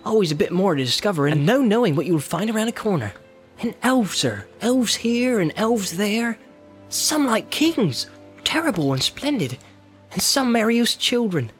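A man's voice: high-pitched, sing-song, brisk, soft background music, pitched above the performer's own voice. A man reading aloud, voicing a young male hobbit servant who talks eagerly and deferentially.

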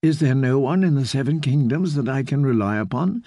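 A man's voice: mid-range voice, animated, fairly quick, warm tone, dry recording, clean studio sound. A man voicing a proud queen who gives orders in a cutting, commanding way. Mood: imperious. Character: imperious, scornful queen.

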